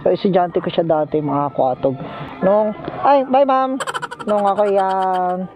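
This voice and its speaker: A man's voice: high-pitched, a little slow, over music. A man chatting casually and teasingly as a motorcycle taxi vlogger, recorded on a helmet intercom outdoors. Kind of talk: casual vlog chat. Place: outdoors, riding a motorcycle in traffic. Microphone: helmet intercom headset. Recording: tinny intercom mic, loud road noise.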